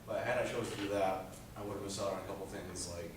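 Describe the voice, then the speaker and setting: Teen boy, low monotone voice, earnest student presenter, distant mic in an echoey school room with noise. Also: quick pace. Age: teens. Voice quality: low speaking voice. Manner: earnest, rambling with many "uh" fillers. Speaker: high school student presenting a senior project. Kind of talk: presentation speech.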